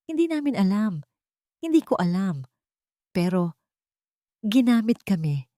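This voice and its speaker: A woman's voice: medium pitch, sing-song, measured pace, warm tone, no echo. Audio-drama young wife, read by a female narrator: Filipino, ashamed, tearful, pleading, recorded dry. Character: guilt-stricken young wife, anguished and ashamed.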